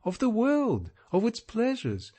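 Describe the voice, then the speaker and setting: Man reading as earnest young woman, pleading, raised voice, slow delivery, storybook. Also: extremely high pitch, wildly swooping pitch, dark tone, pitched above the performer's own voice, full character voice. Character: young woman, earnest and gentle but firm.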